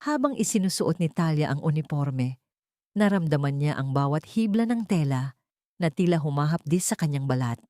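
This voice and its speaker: A woman's voice: sits low, a little mellow, no room sound, clean studio sound. A woman reading a dramatic scripted story as its narrator.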